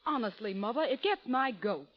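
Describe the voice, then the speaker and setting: An American actress playing a perky young secretary in a 1930s radio commercial. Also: female voice, a little high, animated, brisk, natural voice. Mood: indignant. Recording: muffled, noisy old radio recording.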